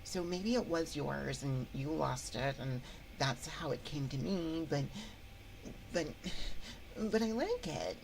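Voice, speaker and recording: A woman voicing a friendly, possessive monster from under the bed in an ASMR roleplay, coaxing softly and often whispering into a close binaural microphone. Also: deep voice, animated, takes it slowly, creaky, a little hiss or hum, full character voice, poor audio. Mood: playfully coaxing. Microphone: binaural 3Dio microphone, close up.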